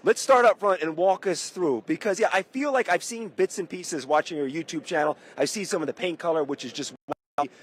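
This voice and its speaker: A man's voice: on the high side, fairly quick. A male host on a live show floor, enthusiastic and full of exclamations as he asks questions. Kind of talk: live event hosting.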